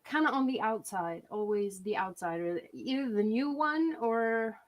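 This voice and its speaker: Casual young swiss woman on a video call, talks freely, keeps restarting sentences, slightly high pitch. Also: expressive, a little slow. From Switzerland. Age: young adult. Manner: chatty, restarts sentences often. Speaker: guest singer-songwriter. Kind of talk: casual interview chat.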